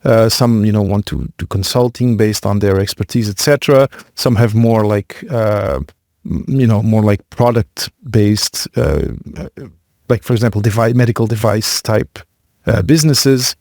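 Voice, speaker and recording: A man's voice: lowish voice, moderate pace, darkish tone, dry recording, clean studio sound. A man hosting an interview podcast, asking long questions while searching for words and rephrasing, with many fillers.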